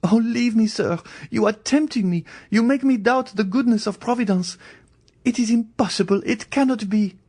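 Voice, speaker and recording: A man voicing a frightened, sickly young noblewoman who pleads in a high, breathless voice. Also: normal speed, darkish tone, slightly rough.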